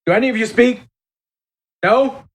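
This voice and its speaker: A man's voice: very high voice, normal speed. A man chatting casually as a player at a tabletop game, talking through his moves.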